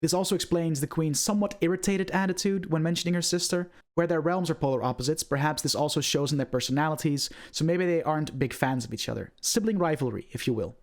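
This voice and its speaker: A man hosting an online video, giving scripted commentary on video game lore in a presenter's style. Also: on the high side.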